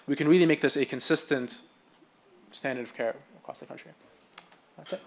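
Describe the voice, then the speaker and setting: A man presenting his research at a medical symposium, talking quickly and with enthusiasm, often restarting his phrases. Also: medium pitch, background noise. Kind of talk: conference talk.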